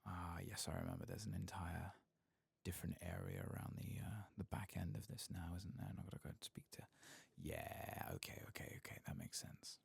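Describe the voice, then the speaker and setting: A tired man narrates his game in a soft ASMR murmur that often drops to a whisper. His voice is very deep and heavily creaky, with a breathy rasp. The pitch moves about in a lively way as he talks unhurriedly to himself. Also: a little fast, no room sound. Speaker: ASMR gaming streamer.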